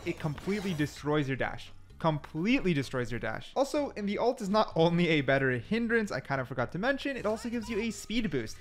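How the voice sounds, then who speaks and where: A man giving casual, chatty explainer commentary over gameplay, talking the viewer through it step by step. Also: a little high. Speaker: gaming video host.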